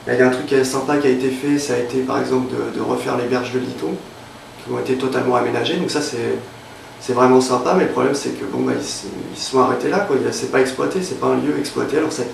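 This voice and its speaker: A man's voice: mid-range voice, steady tone, fast talker, vocal fry, distant mic, a little hiss or hum. A young man from Normandy in France, talking casually in an interview, hesitant and full of fillers and restarts. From Évreux, Normandy, France. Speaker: interviewee, freelance illustrator. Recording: roomy, off-mic with faint ambience.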